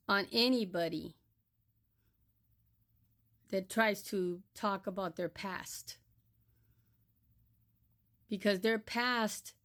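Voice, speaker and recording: Slow, firm older woman from Texas. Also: medium pitch, a bit bright. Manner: firm, admonishing, deliberate. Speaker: social media creator. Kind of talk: direct-to-camera monologue.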